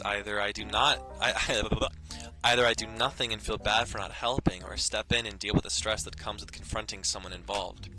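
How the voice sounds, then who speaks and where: Lower-voiced male host, sarcastic reading of visual novel text over game music. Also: poor audio. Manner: sarcastic, playful commentary. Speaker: let's play host. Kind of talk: reading a visual novel aloud with joking asides.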